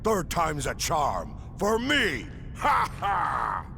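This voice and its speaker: An actor playing a boastful, melodramatic warrior who wanders between dimensions, speaking in a grandiose, florid, theatrical way with a gravelly voice in a video game. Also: male voice, huge pitch swings, extremely slow, a little hiss or hum, full character voice. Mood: wounded pride. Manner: grandiose, florid, swinging from hushed to booming.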